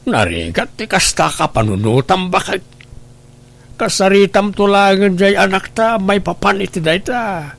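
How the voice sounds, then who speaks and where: A man acts as an older father and husband in a radio drama, concerned and careful. His voice is slightly high for a man, and he reasons in a measured way. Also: expressive, vocal fry, fairly bright tone, heavily acted. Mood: cautious.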